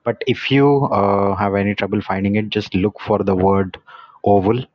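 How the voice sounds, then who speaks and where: A man with a lowish voice talks casually and hesitantly, filling gaps with "uh" and restarting his sentences. The audio is dull and lo-fi, and the room is dry. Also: fairly even intonation, moderate pace, no echo. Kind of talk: casual chat.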